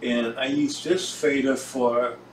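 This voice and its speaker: An older man giving a casual, halting tour while filming, speaking in short pieces. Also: medium pitch, steady tone. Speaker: home recording hobbyist presenting own gear. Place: home recording room, indoors. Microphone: camera on tripod, some distance away. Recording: roomy echo, distant mic, hissy background.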